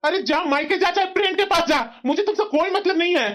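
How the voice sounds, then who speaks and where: A woman's voice: very high voice, fast. A woman voicing an exasperated, aggrieved young husband in a family cartoon, scolding, in a dull lo-fi recording. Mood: angry, fed up. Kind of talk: cartoon voice-over in family comedy drama.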